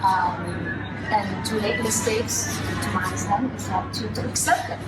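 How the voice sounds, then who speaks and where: Woman interviewer, thoughtful, off-mic, echoey, loud music behind. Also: mid-range voice, unhurried, distant mic. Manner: soft, thoughtful, short affirming replies. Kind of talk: casual interview.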